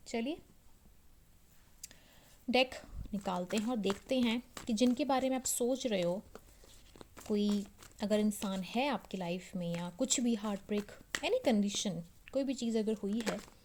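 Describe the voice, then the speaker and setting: Slow-talking Indian woman reading cards. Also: medium pitch, a little mellow, slight background noise. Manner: mixes Hindi with English phrases. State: slightly sore throat. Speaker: tarot reader and channel host. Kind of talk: casual card reading addressed to viewers.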